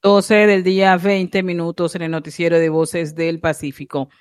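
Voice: medium pitch, little pitch movement, moderate pace, smooth. A radio news reporter presenting a midday bulletin and reading time checks. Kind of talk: news broadcast.

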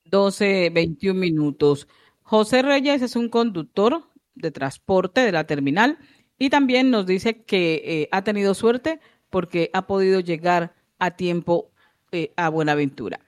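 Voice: medium pitch, animated, a little slow. A radio news reporter presenting a midday bulletin and reading time checks. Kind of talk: news broadcast.

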